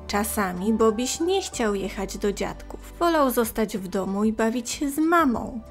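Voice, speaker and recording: Female children's audiobook narrator, slightly high voice, gentle and expressive, soft music behind. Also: unhurried. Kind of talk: scripted storytelling for young children.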